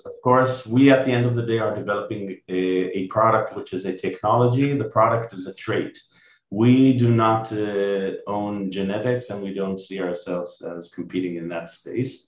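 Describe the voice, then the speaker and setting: A middle-aged man with a low voice presents like a company chief pitching to investors. He explains things in measured wording. The sound is muffled and roomy, as on a video call indoors. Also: darkish tone. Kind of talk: business pitch and Q&A.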